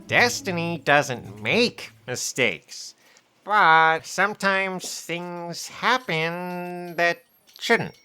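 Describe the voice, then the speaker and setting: Slow, deadpan office assistant. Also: female voice, lowish voice, smooth, soft background music, full character voice. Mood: dry, knowing. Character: dry, unhurried female assistant, loyal and knowing. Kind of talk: comedic audio drama acting.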